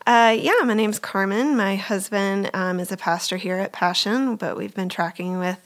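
A woman guest on a podcast talking in a friendly way, often pausing with fillers. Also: mid-range voice, fairly even intonation. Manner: friendly, lots of ums. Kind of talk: podcast conversation.

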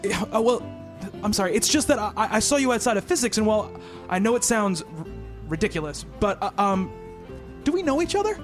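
Actor playing an earnest, high-voiced male psychiatrist. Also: very animated, fairly quick, over music. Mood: nervous, awkward. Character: earnest male psychiatrist, haunted narrator and shy awkward suitor. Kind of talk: radio play acting.

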